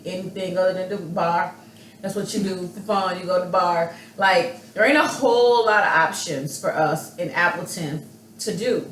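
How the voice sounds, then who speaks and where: Lowish-voiced American woman, echoey and distant. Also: moderate pace, fairly bright tone. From South Side of Chicago. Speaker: panel guest. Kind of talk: casual chat. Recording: echoey, distant mic, faint noise.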